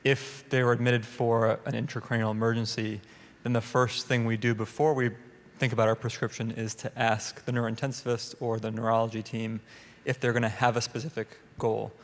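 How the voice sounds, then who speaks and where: Male physician panelist, thirties, flat intonation, mid pitch, echoing hall. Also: brisk. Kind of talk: explanatory panel answer. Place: large conference hall stage. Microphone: handheld microphone. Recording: reverberant hall sound.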